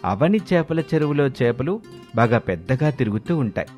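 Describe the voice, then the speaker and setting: A man's voice: mid-range voice, very animated, moderate pace, a little mellow. A man narrating a scripted family story as its storyteller. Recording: music behind the voice.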